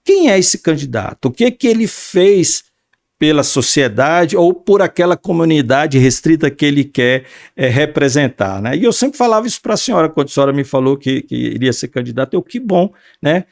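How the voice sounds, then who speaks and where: A man's voice: on the high side, expressive, normal speed, creaky, no echo, studio quality. A man hosting an interview podcast, earnest and formal, with many fillers. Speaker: podcast host.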